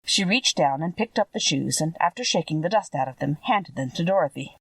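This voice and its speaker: A woman reading a storybook aloud as expressive scripted audiobook narration. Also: low-pitched, a little fast, slightly rough, no room sound, far from the mic. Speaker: audiobook reader.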